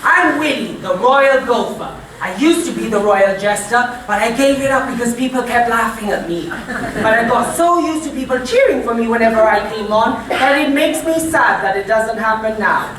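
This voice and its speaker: Woman as cheerful pantomime servant boy, high pitch, projected stage delivery, far-off microphone, noisy theatre. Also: animated, normal speed, fairly bright tone. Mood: eager, playful. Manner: projected, playing up to the audience. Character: cheerful young male royal gopher, former court jester, pantomime. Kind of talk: broad pantomime stage acting to an audience. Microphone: far from the performer. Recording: echoey theatre hall, distant mic, audience noise. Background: audience and room noise.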